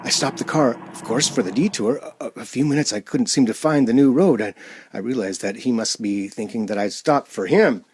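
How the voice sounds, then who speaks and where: A man's voice: mid-range voice, a little fast, a little mellow, breathy edge, close to an everyday voice. An actor playing an uneasy man driving alone, narrating a radio play in a hesitant, dramatic way. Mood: haunted, brooding.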